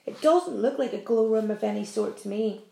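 A woman voicing a polite, curious young boy who speaks quietly, in a storybook reading. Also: on the high side, lively intonation, fairly quick, creaky. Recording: roomy echo.

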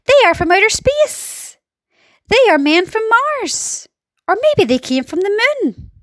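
A woman voicing excited, frightened onlookers, policemen and firemen in a storybook, crying out in drawn-out exclamations. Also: no room sound, studio quality, full character voice. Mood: amazed. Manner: high, drawn-out cries.

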